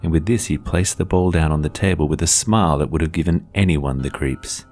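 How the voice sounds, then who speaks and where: A man with a very low voice tells a horror tale as a scripted narration. His delivery is measured and deliberate, with faint music behind him. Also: a little mellow.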